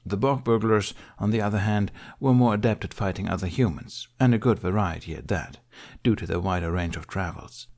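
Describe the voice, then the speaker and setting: A man with a fairly low voice narrates an audiobook. He tells an adventure story in an expressive, scripted storytelling style. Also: brisk, hardly any pauses.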